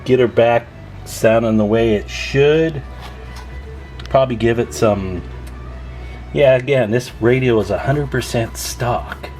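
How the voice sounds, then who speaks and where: A man's voice: medium pitch, takes it slowly, long pauses, darkish tone, soft background music. A man giving a casual, chatty show-and-tell as a radio repairer and video host, folksy and enthusiastic.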